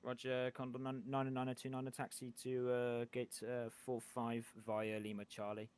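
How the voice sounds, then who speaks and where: A man working as an air traffic controller in a flight simulation game, speaking radio phraseology in a hesitant, halting way. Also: medium pitch, completely flat delivery, a little mellow, clean tone. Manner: hesitant, halting delivery with many pauses.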